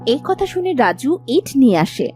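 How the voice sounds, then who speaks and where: A woman's voice: sits high, sing-song, moderate pace, mellow. A woman narrating a scripted children's story. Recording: music behind the voice.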